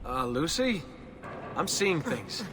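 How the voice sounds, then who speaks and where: A man's voice: on the high side, big pitch swings, slow, noisy background. An actor playing a casual, wry, curious young man in training, in a video game cutscene. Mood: uneasy.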